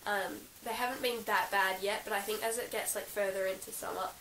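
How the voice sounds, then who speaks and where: A young Australian woman, croaky from being sick, chatting casually in a vlog about her favourite horse gear, giggling often and filling her talk with ums and likes. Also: medium pitch, steady tone, a little fast, fairly bright tone. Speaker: equestrian vlogger. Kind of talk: casual show-and-tell vlog. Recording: roomy, slightly distant with hiss.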